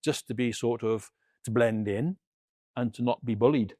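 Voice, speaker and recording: A man's voice: mid-range voice, normal speed, dark tone, no echo, studio quality. A middle-aged man with a British accent, telling anecdotes conversationally as an interview guest. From United Kingdom. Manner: anecdotal, re-enacts dialogue as he tells it. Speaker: interview guest, former military linguist. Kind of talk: conversational storytelling.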